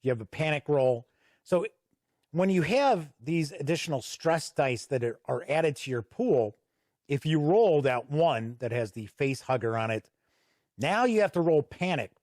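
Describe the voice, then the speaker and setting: Middle-aged man, slightly high voice, dry sound. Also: animated, a little slow, a little mellow, dry recording. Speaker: tabletop game reviewer. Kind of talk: casual review commentary, explaining rules.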